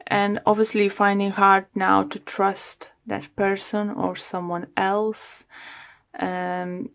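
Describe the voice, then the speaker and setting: A woman reading tarot cards aloud in unhurried, deliberate English, pausing often to reach for words in what is not her first language. Her voice is medium-pitched and slightly worn from a day of readings. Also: flat delivery, gravelly. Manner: halting, searching for English words. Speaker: tarot reader.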